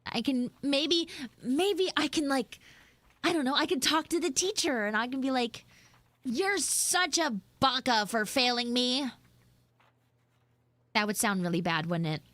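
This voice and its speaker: A woman voicing a bratty, dramatic tsundere anime girl in a live improvised audio roleplay, theatrical and whiny, with drawn-out words. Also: sits high, fairly bright tone, no room sound. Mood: whiny, sulky. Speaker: streamer, voice actor.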